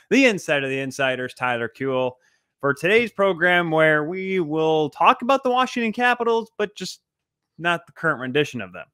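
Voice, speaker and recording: A man's voice: a little high, fairly bright tone, smooth, no echo, studio quality. A man hosting a sports podcast and interview in an emphatic, showy announcer-like manner. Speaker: podcast host.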